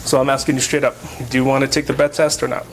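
A male officer explains each step of a sobriety test in a firm, methodical way. He is recorded on a body camera inside a large truck garage. A steady hum of machinery and air sits behind him. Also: mid-range voice, fast. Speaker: police officer. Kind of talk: field sobriety test instructions.

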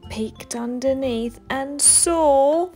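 A woman storyteller reading a children's bedtime story aloud, expressive, with dramatic emphasis. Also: sits high, drawn-out words, faint music behind. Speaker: storyteller, channel host.

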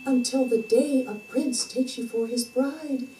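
A woman's voice: high-pitched, fairly even intonation, unhurried, dark tone, slight background noise. A woman voicing a gracious fairy godmother in a puppet show, reciting in singsong rhyme. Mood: gracious, solemn. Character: gracious fairy godmother bestowing magic gifts. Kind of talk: puppet theatre fairy tale. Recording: distant, echoey stage.

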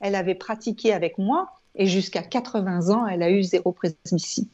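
A woman's voice: medium pitch, fairly quick, a little mellow. A woman in her sixties explaining things conversationally in an interview, emphatic and repeating words, over a video call on earphones. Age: 60s. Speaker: guest, eye yoga trainer and author. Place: home room with shelves, indoors. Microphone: wired earphones on a video call. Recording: dull, lo-fi video call audio.

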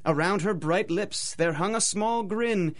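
An actor playing a dramatic radio announcer, telling a pulp tale in rhyme with theatrical, measured storybook delivery. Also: male voice, sits high. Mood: wry storytelling. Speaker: announcer and narrator. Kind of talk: rhymed storybook narration in old-time radio drama style.

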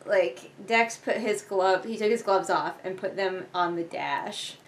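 A woman's voice: mid-range voice, measured pace. A young woman giving casual, rambling, sarcastic commentary and swearing offhand, recorded indoors a few feet from the camera. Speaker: book reviewer reading along. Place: living room couch, indoors. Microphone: camera a few feet away. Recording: noisy living room camera audio.

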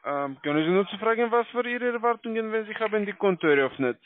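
A man with a foreign accent, a non-native speaker giving a halting, scripted sales pitch. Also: lively intonation, no room sound. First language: not German. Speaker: call-centre salesman. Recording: dry, tinny phone line.

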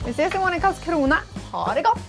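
A woman's voice: very high-pitched, sing-song. A young woman reporting cheerfully from a summer campsite, chatting with people into a handheld mic. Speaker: TV travel reporter. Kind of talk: upbeat on-location reporting. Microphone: handheld fuzzy mic. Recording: loud background music. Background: loud music.

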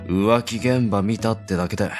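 A man's voice: on the low side, normal speed, soft background music, lightly acted. A man voicing a blunt, sensible young delinquent in an audio drama. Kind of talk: Japanese boys' audio drama.

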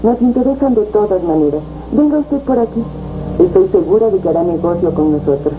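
An actress playing a polite, persuasive, businesslike office clerk in a 1970s telenovela. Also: female voice, fairly low pitch, lively intonation, brisk, music in the background, close to an everyday voice. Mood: assured, persuasive. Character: brisk, assured, businesslike female office clerk on telephone. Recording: muffled, noisy old TV tape.

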